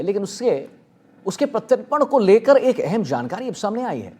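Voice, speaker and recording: A male news anchor introduces a story from a script. His voice is high and husky, with lively swings in pitch.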